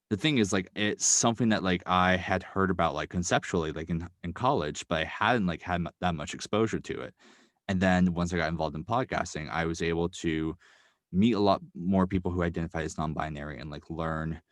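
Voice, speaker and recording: Young male guest, very low register, halting reflective delivery, dry close mic. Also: no echo. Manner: halting, thoughtful, many fillers and restarts. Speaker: podcast guest, writer and sound designer. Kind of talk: casual interview talk.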